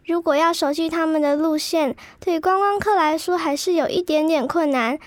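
A woman's voice: very high voice, moderate pace, dry recording. A woman playing a chatty, cheerful schoolgirl in an educational radio drama for children. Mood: lively, sharing. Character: chatty cheerful Filipino-Taiwanese schoolgirl, proud of Philippine food.

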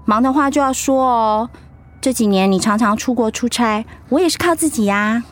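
An actress with a Taiwanese accent playing an upbeat, teasing wife in recovery from illness in a radio drama, playful and reassuring. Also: female voice, fairly high pitch, normal speed, close to an everyday voice. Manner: playful, reassuring, chiding her husband. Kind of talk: Mandarin radio drama acting.

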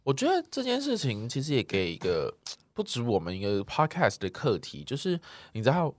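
A man's voice: mid-range voice, huge pitch swings, a little fast. A man with a Taiwanese accent chatting casually as a podcast co-host, with a teasing, colloquial manner. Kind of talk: casual chat.

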